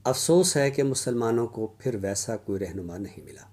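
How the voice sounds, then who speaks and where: A man giving a scholarly literary lecture in a formal, deliberate manner with pauses. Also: fairly low pitch, expressive, darkish tone.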